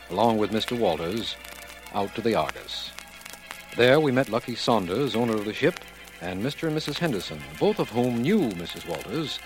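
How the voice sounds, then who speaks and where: An actor playing an earnest district attorney, formally recounting a case in 1940s radio drama narration. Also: male voice, fairly low pitch, natural voice, rough recording. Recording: vintage 1940s radio recording. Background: music.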